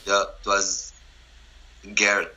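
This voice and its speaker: A man in his twenties from Syria with an Arabic accent, telling his story in halting, broken English full of restarts. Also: on the low side, completely flat delivery, sharp tone. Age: 20s. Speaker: interviewee. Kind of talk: personal story, casual.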